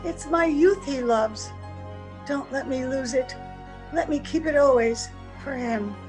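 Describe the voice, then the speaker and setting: Earnest, youthful wife, high slow voice, theatrical radio-drama style, faint music behind, female actor. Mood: pleading. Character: youthful, earnest, sweet wife dreading growing old. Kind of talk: old-time radio drama acting. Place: at home, recorded over video call.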